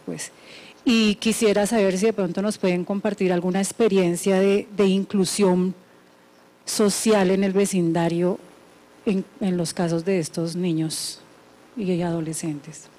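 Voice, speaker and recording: Mellow, even-toned woman. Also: on the low side, rough recording. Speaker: audience member asking questions. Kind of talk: formal question at a conference.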